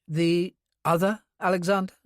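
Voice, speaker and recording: A man's voice: high-pitched, flat delivery, normal speed, no room sound, studio quality, voice pushed up, close to an everyday voice. A man voicing a poised, curious, educated woman with an understated manner in an audiobook story.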